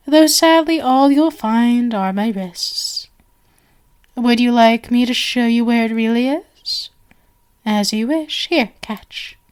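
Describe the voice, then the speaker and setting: A woman's voice: a little high, takes it slowly, darkish tone, smooth. A woman playing a genial but sinister stage magician, possessive and doting, with flowery, coaxing endearments in an ASMR roleplay. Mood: playful, teasing.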